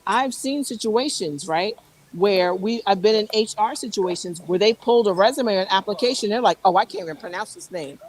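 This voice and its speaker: Woman host, mid-pitched, emphatic, circles back on her points. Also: fairly quick, poor audio. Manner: emphatic, repeats herself, restarts often. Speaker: podcast host. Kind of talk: casual chat.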